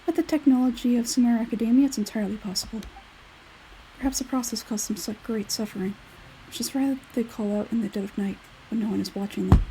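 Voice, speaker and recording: A woman voicing an aloof young male scholar in a video game, speaking in a measured, detached, analytical way. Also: on the high side, steady tone, mellow, a little hiss or hum, natural voice, rough recording. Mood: matter-of-fact. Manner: measured, detached, matter-of-fact. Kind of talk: video game character dialogue read aloud.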